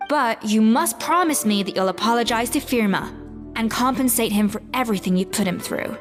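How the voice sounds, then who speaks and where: Principled female head chef voiced by a woman, firm and measured, with reverb and soft game music. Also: a little high, lightly acted. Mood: stern.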